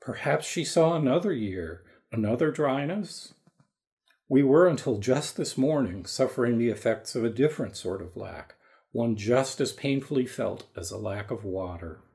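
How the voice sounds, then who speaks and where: A man playing a solemn, caring divine king of ancient Egypt in an audio drama, speaking in a measured, formal, regal way. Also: medium pitch, expressive, gravelly, a little mellow. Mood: diplomatic. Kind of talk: dramatised audio story reading.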